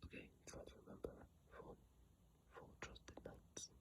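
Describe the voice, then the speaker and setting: An ASMR creator whispering in a breathy, halting, informal way, commenting on games close to a phone mic. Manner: slow, hushed, halting and informal. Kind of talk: whispered ASMR reading and rambling game commentary. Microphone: iPhone mic, held close.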